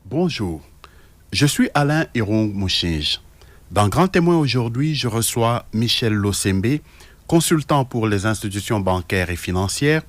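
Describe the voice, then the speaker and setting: A man's voice: on the low side, animated, unhurried, a little hiss or hum. A man hosting a radio interview, with frequent hesitations. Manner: hesitant, many filler sounds. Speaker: radio interviewer, show host.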